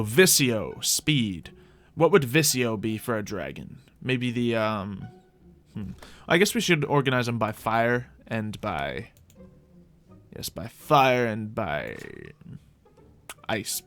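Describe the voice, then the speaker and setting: A man's voice: mid-range voice, fairly bright tone. A man hosting a gaming series, thinking aloud in light roleplay with mock-archaic, formal fantasy phrasing. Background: music.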